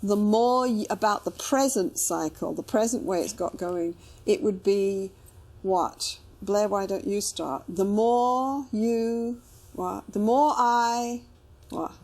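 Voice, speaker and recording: A woman's voice: medium pitch, slow, a little mellow. A woman working as a couples therapist, talking through a problem with clients, rephrasing and checking with questions. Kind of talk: probing therapy conversation.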